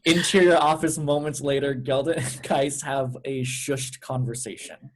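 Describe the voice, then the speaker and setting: Male narrator, medium pitch, reading stage directions for a cast table read. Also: steady tone, moderate pace, faint music behind.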